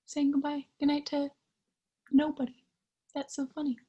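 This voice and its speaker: A woman reading a picture book to young children in a gentle, singsong way, sometimes hushed, asking the listener simple questions with childlike wonder. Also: high voice, monotone, normal speed, lo-fi sound. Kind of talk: interactive children's read-aloud with questions to the listener.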